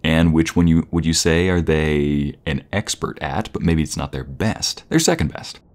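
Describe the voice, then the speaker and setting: Very deep-voiced man, playful boyfriend roleplay. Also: expressive, normal speed, darkish tone.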